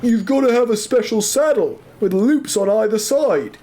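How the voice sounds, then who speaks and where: A man's voice: sits high, expressive, moderate pace, warm tone, slight background noise, voice pushed up. A man voicing a cross, argumentative railway wagon in an audio story, exasperated and quarrelsome. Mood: insistent.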